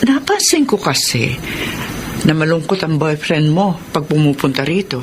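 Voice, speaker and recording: An actress playing a caring, concerned middle-aged Filipino mother in a radio drama, with a gentle, inquiring manner. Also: low voice, very animated, moderate pace. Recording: noisy radio drama with ambience.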